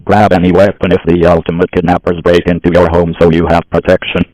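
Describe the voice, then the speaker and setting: A man's voice: low voice, monotone, fast talker, very noisy. A man with a synthetic voice reading a scripted emergency broadcast as an announcer.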